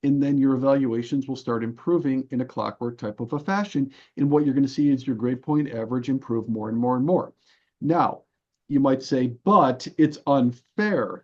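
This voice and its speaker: A man's voice: medium pitch, a little mellow. Man explaining in dull, band-limited audio. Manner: didactic, emphatic, uses rhetorical questions and analogies. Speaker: podcast guest, health and fitness coach. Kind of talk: explanatory interview talk.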